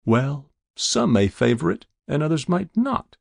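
A man's voice: medium pitch, expressive, measured pace, vocal fry, slightly rough, dry recording, clean studio sound, pitched above the performer's own voice, full character voice. A man voicing a stubborn, uneasy New Hampshire farmer in a told story, folksy and plain-spoken. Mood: wary. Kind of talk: dramatic literary storytelling.

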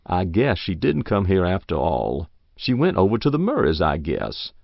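A man's voice: sits low, wildly swooping pitch, normal speed, vocal fry, dry recording, exaggerated voice. A man voicing a thin, pale, confused old farmer who speaks in rustic speech. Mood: mild. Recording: dull lo-fi audiobook recording.

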